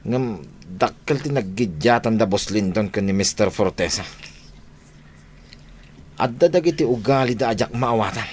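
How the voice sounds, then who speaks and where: A man's voice: fairly low pitch, animated, moderate pace, pauses often, a little mellow, a little hiss or hum, natural voice. A man acting in an Ilocano radio drama as a loyal, watchful henchman to powerful bosses. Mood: brooding.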